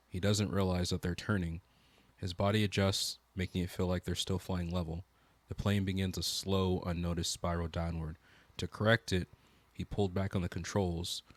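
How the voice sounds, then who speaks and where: A man's voice: low-pitched, no echo. A man narrating a scripted documentary in a solemn, dramatic, suspenseful way. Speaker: video narrator, channel host. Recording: loud music under the voice. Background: loud music.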